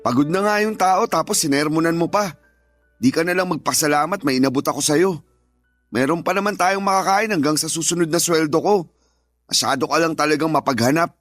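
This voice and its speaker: Casting: actor as evasive, exasperated husband, slightly high male voice, curt and dismissive radio drama delivery over music. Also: brisk, a bit bright, close to an everyday voice. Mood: exasperated, angry. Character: irritable, evasive, dismissive husband, tired from work.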